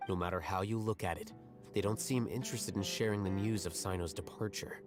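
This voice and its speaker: Guy acting calm brainy scholar in a game, low voice, explains stuff carefully, soft music under it. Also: natural voice. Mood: composed, explanatory. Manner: measured, articulate, lecturing. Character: calm, analytical young male scholar, coolly reasoning. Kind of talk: scripted video game dialogue.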